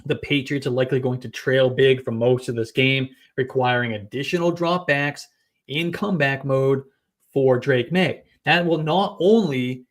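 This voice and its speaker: A man at an average pitch talking through fantasy football picks in an easy, casual chat. He reels off stats briskly and confidently, one number after another. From New England. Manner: brisk, stat-heavy delivery. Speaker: fantasy football podcast host. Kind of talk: casual sports analysis chat.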